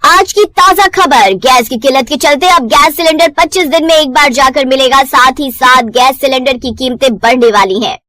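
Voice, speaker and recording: A woman's voice: high-pitched, normal speed, hardly any pauses, bright, forceful tone, dry recording. A woman voicing a formal, declamatory television news reader within a moral story. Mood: urgent announcing.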